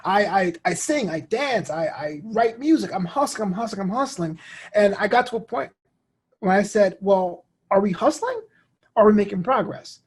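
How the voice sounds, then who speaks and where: A man's voice: high voice, animated, normal speed, husky. A man, talking casually as an interview guest and acting out quoted remarks as he tells stories. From New York City, United States. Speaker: guest, comedian and show host.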